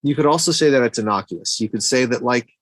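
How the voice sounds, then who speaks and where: A middle-aged man in a casual podcast discussion about films. His voice sits at an ordinary male pitch and the sound is dry, without room reverb. He thinks aloud, doubling back on words as he forms his point. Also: fast. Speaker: podcast co-host reviewing movies.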